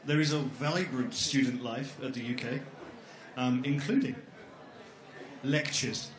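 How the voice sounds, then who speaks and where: A man's voice: medium pitch, steady tone, measured pace. A middle-aged man with an ordinary mid-range voice co-presents at a fair. He rambles a little and slips in jokes and ums, and the sound of a big hall hangs around his words. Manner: jokey, rambling, with many fillers. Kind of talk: informal presentation at an education fair. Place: large echoey exhibition hall. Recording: echoey hall.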